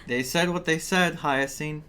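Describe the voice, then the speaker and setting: Slow-paced casual game streamer. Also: mid-range voice, a bit bright, a little hiss or hum. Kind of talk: casual reaction commentary over a story game.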